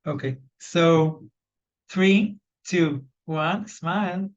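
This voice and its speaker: A man with an Israeli accent and a fairly high voice runs an online job search training. He speaks loosely, full of ums and self-corrections. The video call audio is slightly dull. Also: very slow. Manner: loose, conversational, lots of fillers and restarts. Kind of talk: live online training session.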